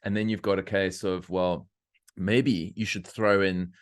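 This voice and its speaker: A middle-aged man from South Africa hosting a podcast, talking in opinionated, animated rants with laughter. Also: low-pitched, a little slow, no room sound, clean studio sound. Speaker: podcast host. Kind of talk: casual interview chat.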